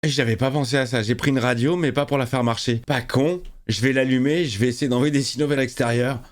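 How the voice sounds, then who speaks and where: A man's voice: mid-range voice, fairly even intonation, brisk. A man giving sarcastic, crude running commentary while watching a show, laughing at the characters. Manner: mocking, laughing, crude asides. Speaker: reaction video host.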